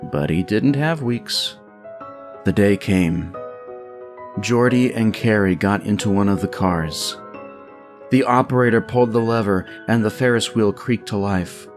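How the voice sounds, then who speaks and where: A man narrating a scripted comic story in an animated, dramatic storytelling manner. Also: on the low side, measured pace, faint music behind. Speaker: narrator and writer of a comedy story podcast.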